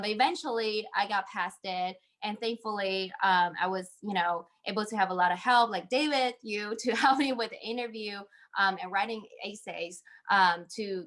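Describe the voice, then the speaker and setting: A woman speaks as an interview guest on a video call. Her voice sits at a medium pitch, and she gives advice in a conversational way. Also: fairly bright tone. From Taiwan. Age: 30s. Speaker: interview guest, MBA graduate. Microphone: video call microphone.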